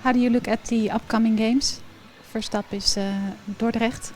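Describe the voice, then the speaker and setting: A woman's voice: a little high, steady tone, normal speed, a little mellow, a little hiss or hum. A woman interviewing a football player, asking short, direct questions.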